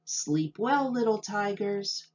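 A woman teacher reading a children's nonfiction book aloud, enunciating carefully and deliberately for young listeners. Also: mid-range voice, lively intonation, a little mellow, lo-fi sound.

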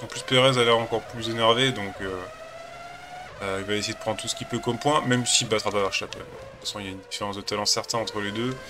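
A man's voice: on the low side, fairly even intonation, fast talker, noisy background. A man with a cold chatting casually as a gaming channel host, rambling and hesitant while he plays a racing game. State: has a cold.

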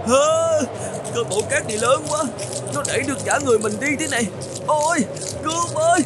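A man voicing an excitable, self-pitying young male camel in an animated fairy tale, exclaiming and talking to himself. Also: extremely high pitch, fairly quick, music in the background. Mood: panicked. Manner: exclamatory, whining, talks to himself.

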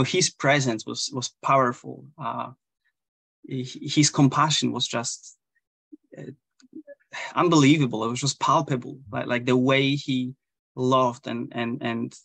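A man in his thirties with a Polish accent, a Zen priest talking as a guest over a video call, halting and full of restarts and fillers. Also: mid-range voice, gravelly, a bit bright, no room sound. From Poland. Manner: hesitant, many restarts and fillers. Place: small room indoors. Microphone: video call webcam.

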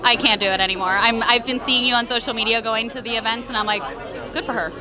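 A woman's voice: a little high, steady tone, quick pace, poor audio. A German-born woman, a native German speaker, works as an entertainment reporter and asks bubbly, gushing questions into a handheld mic at an indoor red-carpet event. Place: indoor event press wall, large hall. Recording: loud crowd chatter behind.

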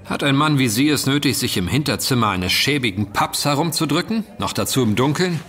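A man playing an unruffled, dryly witty gentleman adventurer in a German audio drama. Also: medium pitch, animated, brisk, noisy background, close to an everyday voice. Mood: cool, curious. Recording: music behind the voice.

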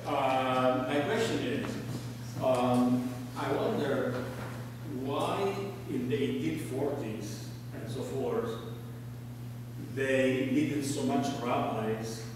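Slow, halting man from Egypt. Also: mid-range voice. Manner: halting, many fillers. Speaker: audience member. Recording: distant, echoey hall with hum.

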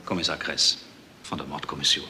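An actor playing a hospital doctor in a crime radio play, with a wry, dryly humorous manner. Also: male voice, very deep voice, steady tone, a little fast, bright, forceful tone, full character voice. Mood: sardonic, shaken. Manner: wry, dry humour. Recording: echoey room with faint noise.

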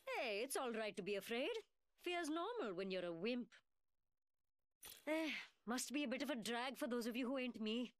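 A woman's voice: fairly high pitch, lightly acted. An actress voicing a cocky, mocking young woman gunsmith in a video game, with a British working-class London accent and a cheeky, sarcastic manner. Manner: taunting, slangy, sarcastic. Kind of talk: video game character voice lines.

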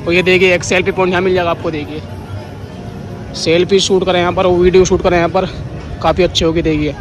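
A man's voice: high voice, little pitch movement, fast talker. A man giving a casual walking tour of a shopping mall, narrating into a phone camera and pointing things out as he walks. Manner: repeats "dekhiye" constantly, pointing things out. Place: outdoor mall plaza, then inside a large shopping mall. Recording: loud music and crowd noise behind.

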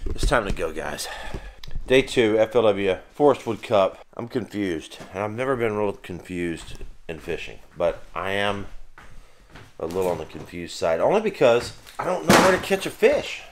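A lively middle-aged man filming himself on a fishing trip, talking to the camera with bustle around him. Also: sits low. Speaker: professional angler, vlog host. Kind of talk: casual vlog chat to camera. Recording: outdoor and indoor noise, crowd bustle.

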